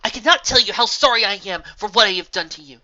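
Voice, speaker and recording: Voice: mid-range voice, fast talker, voice pushed up. Regal pony princess, grave and sorry, storybook voice, a bit lo-fi, as a reader puts her on. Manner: grave, pleading, sometimes hushed.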